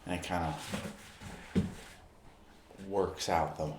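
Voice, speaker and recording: A man performing an ASMR roleplay as a friendly amateur basketball player, speaking in short, deliberate, halting phrases as he shows objects. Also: deep voice, fairly even intonation. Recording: roomy echo, clear background noise.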